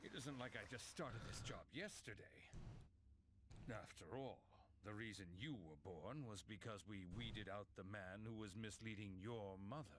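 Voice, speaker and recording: An actor playing an older, urbane bartender with a sinister side, speaking in a smug, measured, formal way. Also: male voice, on the low side, background noise, close to an everyday voice. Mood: cool, condescending. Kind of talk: dubbed game cutscene dialogue.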